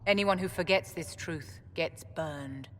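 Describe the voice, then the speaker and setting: An actress playing a haughty, elegant court mage in a video game, measured and condescending. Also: female voice, fairly low pitch, lightly acted. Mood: pointed, superior. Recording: reverberant hall, faint background noise.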